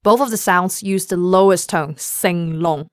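Female co-host with a Cantonese accent, smooth resonant voice, dry studio sound, careful slow model phrases. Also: fairly low pitch, dry recording. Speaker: language lesson co-host. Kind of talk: scripted language lesson.

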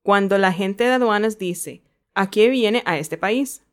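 A woman's voice: mid-range voice, measured pace. A woman hosting a language lesson, moving between presenting and casual chat.

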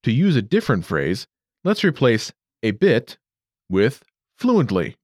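A male narrator walks a listener through a language lesson in a clear, measured teacher's delivery. His pitch swings widely and expressively from phrase to phrase. The recording is dry and close, with no room sound. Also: wildly swooping pitch, darkish tone, dry recording. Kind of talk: scripted instructional narration.

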